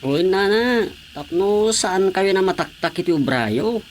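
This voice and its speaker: Woman doing helpful young ilocano guy in radio drama, earnest, lowish for a lady, faint hiss. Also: expressive, a little slow.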